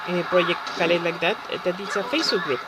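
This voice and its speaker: A man from Chile with a Chilean Spanish accent, a Spanish speaker talking casually in English over gameplay and often pausing to search for words. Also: high-pitched, steady tone, rough recording. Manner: halting, searching for words with many fillers. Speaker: gaming channel host. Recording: loud background noise.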